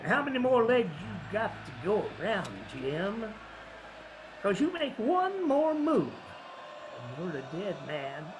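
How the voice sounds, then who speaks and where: Slow, menacing clansman. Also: sits high, sing-song, heavy background noise, full character voice. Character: menacing Appalachian mountain clansman, slow and threatening. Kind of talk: radio drama acting.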